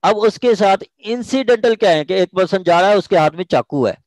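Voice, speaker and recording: A man's voice: high voice, expressive, fairly quick, a little mellow, no echo. A man with a Pakistani accent giving an informal law lecture, explaining step by step, repeating phrases and often checking that he is understood. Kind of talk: informal lecture explaining legal articles with examples.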